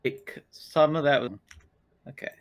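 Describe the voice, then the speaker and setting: A man's voice: on the high side, steady tone, moderate pace. A man talking casually as a player in a tabletop roleplaying game.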